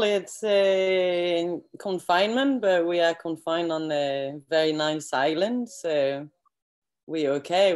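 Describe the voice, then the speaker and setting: A woman in her forties from France with a French accent, chatting as a guest over a laptop webcam from her home kitchen, friendly and halting, with fillers. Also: low voice, a little mellow. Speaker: guest, eco project manager. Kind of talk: video call interview. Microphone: laptop webcam on video call.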